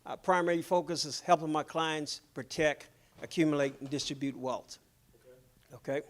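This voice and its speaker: A middle-aged man from the Bahamas with a Bahamian accent, presenting a financial seminar in a measured, businesslike way and checking in with his audience. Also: fairly high pitch, steady tone.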